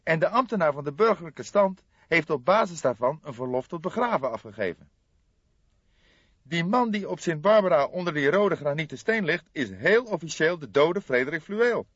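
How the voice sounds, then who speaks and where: A man voicing an agitated, eager young police detective in an audiobook, with excitable, emphatic delivery. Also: a little high, moderate pace, breathy edge, no echo, highs cut off, voice pushed up, full character voice. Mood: eager, reporting.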